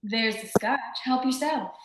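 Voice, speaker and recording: A woman playing a tough, sharp-tongued femme fatale in an old-time radio detective play, curt and sardonic. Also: high-pitched, unhurried. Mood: cool, suspicious. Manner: curt, hard-boiled, sardonic. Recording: echoey room sound.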